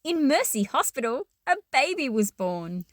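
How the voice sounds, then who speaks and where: A woman's voice: high voice, very animated, moderate pace, no echo, clean studio sound. A woman reading a children's picture book aloud expressively, with explanatory asides to young listeners.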